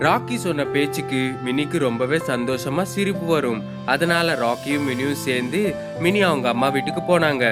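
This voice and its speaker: A man's voice: a little high, fairly quick. A man narrating a scripted moral story for children. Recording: loud guitar music behind.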